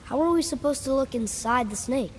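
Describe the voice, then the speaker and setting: A man's voice: extremely high pitch, fairly quick, a little hiss or hum. A young male actor playing an eager, hopeful boy adventurer in a video game, earnest and curious. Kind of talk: video game cutscene acting.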